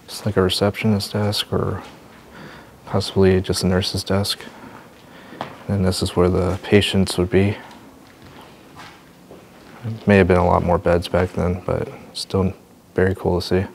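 A deep, gravelly male voice with a flat, unhurried delivery. He talks quietly to the camera while exploring inside an abandoned building. A faint hiss of noise sits underneath. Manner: low-key, observational, thinking aloud. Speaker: urban explorer host. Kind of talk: walking vlog narration.

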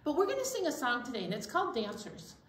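A woman's voice: high-pitched, very animated, fast. A middle-aged woman presents a children's storytime activity, speaking directly to young listeners in simple phrases. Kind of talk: leading a children's flannel board activity. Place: indoor children's library storytime room. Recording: roomy echo, faint background noise.

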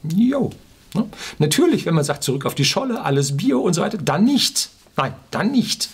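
A man's voice: fairly high pitch, sing-song, moderate pace, husky. A man in his sixties from Germany's Ruhr area, giving an opinionated, informal explainer to camera, emphatically and with rhetorical questions. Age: 60s. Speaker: video blogger. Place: small furnished room indoors.

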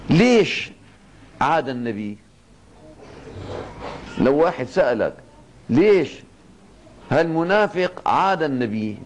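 A man's voice: on the high side, darkish tone. Older Levantine sheikh preaching, fatherly. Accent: Levantine Arabic. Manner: fatherly, addresses listeners as "my son", slow emphatic repetition. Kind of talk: Ramadan evening sermon lesson. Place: mosque, seated at a pulpit desk. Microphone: two stand microphones on the desk.

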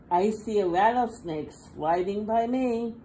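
A woman reading a picture book aloud to young children in an expressive, sing-song manner, recorded indoors at a table. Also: mid-range voice, slow, poor audio. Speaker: children's storybook reader. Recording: faint background room noise.

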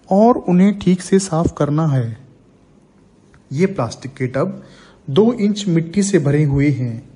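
A man narrating a scripted agricultural training film as a voice-over, reading slowly and evenly in formal wording. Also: fairly high pitch. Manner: slow, even reading with formal Hindi wording.

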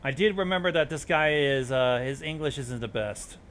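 A man's voice: mid-range voice, normal speed, fairly bright tone, a little hiss or hum. A man hosting a reaction show, reading on-screen text aloud and adding commentary.

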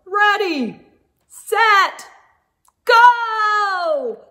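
A woman voicing a loud storybook race judge, yelling out ringing announcements. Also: extremely high pitch, animated, very slow, pitched above the performer's own voice, exaggerated voice. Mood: excited, yelling.

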